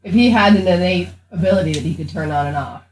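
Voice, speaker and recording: Casual tabletop player with a medium-pitched voice. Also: fast, a little hiss or hum, rough recording. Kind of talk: casual improvised roleplay chat.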